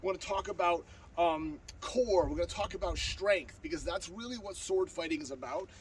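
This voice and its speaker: A man in his thirties with a stuffed-up nose, teaching sword basics in a backyard, talking fast with plenty of fillers and restarts. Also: sits high. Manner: talks fast with frequent fillers and restarts, plain and earnest. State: stuffed up from a cold. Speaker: sword-fighting instructor. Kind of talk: casual outdoor tutorial. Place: backyard lawn outdoors. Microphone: far camera on a tripod. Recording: distant mic with outdoor noise.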